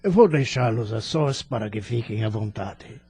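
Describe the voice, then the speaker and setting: A male actor plays a courteous, consoling doctor in an audio drama. His voice is creaky, very husky and a little low, and his manner is measured and formal. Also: expressive, dark tone, heavily acted. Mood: calm sympathy.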